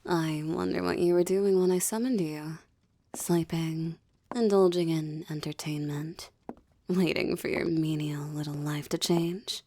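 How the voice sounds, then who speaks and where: Woman playing a low, slow, seductive succubus demoness. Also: fairly even intonation, warm tone, heavily acted. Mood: gloating, delighted. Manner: teasing, haughty, theatrical, often dropping into breathy whispers. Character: seductive, gloating succubus demoness toying with a captive. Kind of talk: intimate roleplay audio drama monologue.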